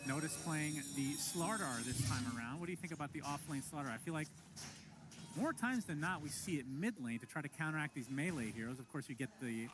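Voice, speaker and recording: A man with a notably high voice calls the action of a video game match, quick and excitable. Loud game music pounds underneath the broadcast. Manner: quick, excitable play calling. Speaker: play-by-play caster. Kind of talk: esports play-by-play commentary.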